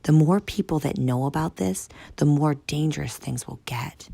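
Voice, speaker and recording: A woman's voice: creaky, no echo. Woman doing Tank, a whispering giant guard. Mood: hushed urgent. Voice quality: deep, throaty, mostly whispered. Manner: hushed and hurried. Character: huge bald male guard, secretive and urgent. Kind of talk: audiobook character voice.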